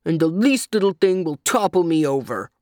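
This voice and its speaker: A woman's voice: lowish voice, expressive, a little slow, a little mellow, no room sound, studio quality. A woman voicing a clumsy male donkey from a children's story, angry, indignant and full of complaint. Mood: angry, grumbling. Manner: grumbling, complaining. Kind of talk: children's storybook character reading.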